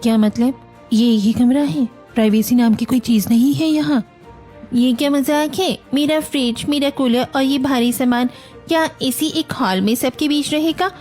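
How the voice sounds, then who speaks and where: A woman's voice: sits high, normal speed, darkish tone, faint music behind, natural voice. A woman playing a demanding young newlywed bride in a cartoon, complaining in an indignant, entitled manner. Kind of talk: Hindi cartoon family story acting.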